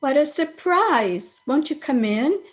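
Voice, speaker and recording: A woman's voice: high-pitched, animated, slow, slight background noise. A woman playing a wry, proud sweetheart in an old-time radio comedy, with a dry, pointed delivery. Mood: pleasant, welcoming. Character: wry, proud woman being jilted, quietly cutting.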